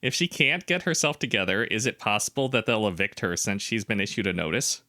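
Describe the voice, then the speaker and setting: A man co-hosts a casual podcast, reading online comments and asking pointed clarifying questions. His voice is low-pitched yet bright and forward in tone. The recording is close and dry. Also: very animated, brisk, no echo. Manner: inquisitive, asks clarifying questions, opinionated. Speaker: podcast co-host.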